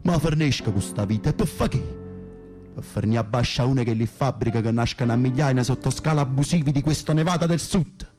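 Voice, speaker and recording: An actor as a dark-voiced, volatile Neapolitan gangster. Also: male voice, mid-range voice, a little fast, faint music behind, lightly acted. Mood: bitter. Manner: gruff, confrontational, swearing. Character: coarse, volatile young Neapolitan Camorra foot soldier in prison. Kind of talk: radio drama acting.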